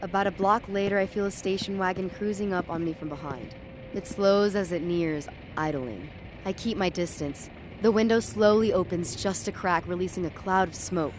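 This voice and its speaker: Woman acting uneasy young woman telling her night walk in first person, lowish voice, audio drama, traffic noise behind. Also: normal speed, lightly acted. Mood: uneasy, watchful. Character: uneasy, tripping young woman walking home at night, defiant. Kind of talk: first-person present-tense dramatic narration. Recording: clear street and traffic ambience behind.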